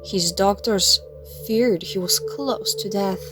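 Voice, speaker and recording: Unhurried woman reading a storybook over music. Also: mid-range voice. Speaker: storybook reader. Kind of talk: scripted children's story narration. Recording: music playing behind the voice.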